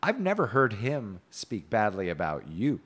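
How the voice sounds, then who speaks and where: A man's voice: lowish voice, huge pitch swings, a little slow, fairly bright tone, breathy edge, full character voice. A man reading out a courteous, earnest knight from an old romance in formal, pleading phrases.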